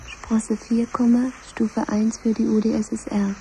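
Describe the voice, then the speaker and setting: An actress playing the official computer voice of a command centre in a 1970s radio drama, formal, measured and ceremonious. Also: female voice, a little high, level tone, dark tone, faint music behind, poor audio. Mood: solemn, official.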